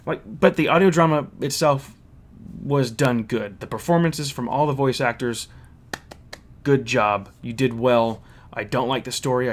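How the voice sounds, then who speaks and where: A man with a mid-range voice reviews and recaps a story in a casual, conversational way. He is blunt and critical, stating his opinions plainly. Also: medium pitch.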